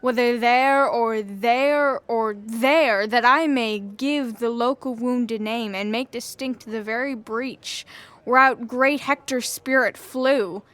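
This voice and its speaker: Young woman as proud menacing greek warrior, highish voice, slow declaiming, shakespeare audio play. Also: a bit bright, dry recording. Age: young adult. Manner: deliberate, declamatory. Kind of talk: stage Shakespeare audio drama.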